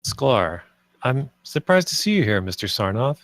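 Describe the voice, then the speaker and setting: A man's voice: medium pitch, big pitch swings, normal speed, no room sound. A man reading the part of a nervous, polite company man in a script table read, hesitant and apologetic.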